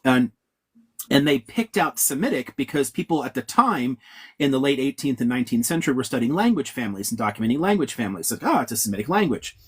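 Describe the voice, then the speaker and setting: A man's voice: mid-range voice, sing-song, quick pace, breathy edge. A man giving blunt, profane answers as a guest historian in an interview, lecturing with emphatic asides.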